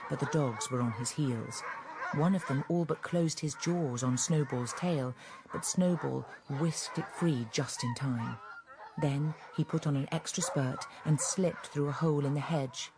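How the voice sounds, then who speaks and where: A man's voice: medium pitch, noisy background. A man giving dramatic scripted narration as the storyteller of a radio drama.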